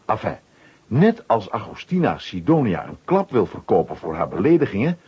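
A man with a husky voice narrating a children's audio story from a script. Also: fairly low pitch, sing-song, moderate pace, a little mellow, highs cut off.

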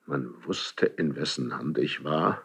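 Gravelly, brooding old king. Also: extremely low pitch, fairly bright tone, exaggerated voice. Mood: troubled questioning. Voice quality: gravelly, husky. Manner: slow, halting, brooding, theatrical declamation. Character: proud, embittered, grief-stricken ageing Spanish king. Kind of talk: classical German verse stage drama. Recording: slightly dull archival radio drama recording.

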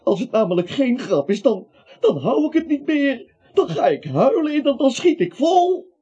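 A hapless, anxious innocent in a comic radio play. The voice is high and swoops around as he whines, pleads and makes dry sarcastic cracks. The old recording is dull and lo-fi. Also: very animated, moderate pace, mellow, husky, exaggerated voice. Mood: panicked. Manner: whining, pleading, dryly sarcastic. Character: hapless nervous innocent man wrongly accused, wisecracking. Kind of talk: stagey comic radio drama acting.

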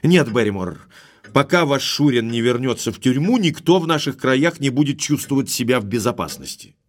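A man plays a frank, indignant gentleman of title in an audio drama. His middle-pitched voice moves in lively swings as he argues bluntly, like the master of the house. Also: normal speed, close to an everyday voice. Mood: reproachful, firm. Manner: blunt, argumentative, expressive.

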